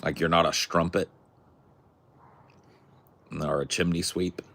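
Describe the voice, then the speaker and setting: A man's voice: deep voice, steady tone, slow pace, fairly bright tone. A young man from California with a Californian accent, chatting casually in a rambling, jokey, crude way. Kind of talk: casual chat.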